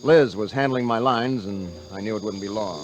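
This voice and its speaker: An actor playing a suspicious, brooding American diver, terse and tough, in a 1950s radio drama. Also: male voice, on the low side, moderate pace, close to an everyday voice, rough recording. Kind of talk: 1950s radio drama acting with first-person narration. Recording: vintage radio broadcast with music.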